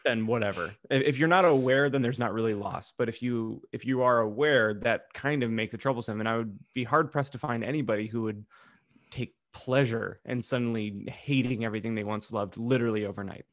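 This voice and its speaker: A man's voice: mid-range voice, fairly quick, a bit bright, no room sound, clean studio sound. A man co-hosting a podcast, talking casually in a rambling, jokey, sometimes crude way. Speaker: podcast co-host. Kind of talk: casual group banter and opinion.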